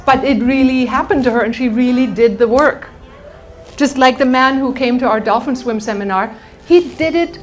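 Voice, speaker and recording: A woman with a fairly high voice speaks as a workshop teacher. She tells anecdotes in a storytelling manner and gives direct, motivational guidance to her listeners, with some music in the recording. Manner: animated storytelling, addressing the audience directly. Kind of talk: motivational workshop talk with anecdotes and guided exercise.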